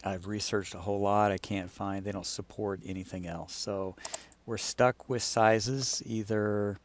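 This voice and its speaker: A man with a fairly low voice walks through something on screen in an informal way. He explains it like a client briefing someone on a project, thinking aloud as he demonstrates. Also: steady tone, measured pace, a little hiss or hum. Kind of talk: casual screen-share walkthrough.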